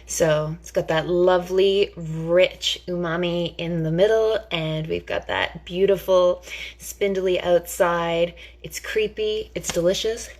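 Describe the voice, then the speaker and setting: A woman's voice: fairly low pitch, fairly even intonation, slow pace, a little mellow. A woman giving a casual cooking demonstration in a chatty, theatrical, playfully enthusiastic way.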